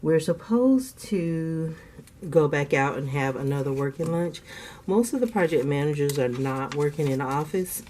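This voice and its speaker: A woman's voice: sits low, lively intonation, normal speed, mellow, slight background noise. A woman hosting a casual budgeting vlog from home, a bit congested from asthma, chatty and laughing often as she counts cash aloud. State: congested from asthma, clearing throat. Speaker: budgeting channel host.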